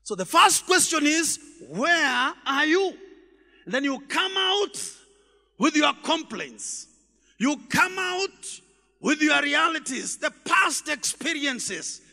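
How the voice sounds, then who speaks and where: A man preaching a sermon in a church, shouting emphatic lines and then dropping his voice low, repeating rhetorical questions to the congregation. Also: big pitch swings, takes it slowly, gravelly, long reverb tail. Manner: repetitive call-and-response questioning.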